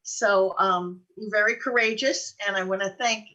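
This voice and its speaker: A woman's voice: medium pitch, steady tone, moderate pace, bright, forceful tone, poor audio. An older woman co-hosting a show, talking in a chatty, effusive way in casual conversation. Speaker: podcast co-host and producer. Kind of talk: casual chat.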